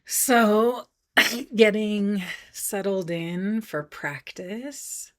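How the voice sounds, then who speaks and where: A woman meditation teacher leading a guided practice, speaking gently and softly with unhurried pauses, at times breathy. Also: mid-range voice.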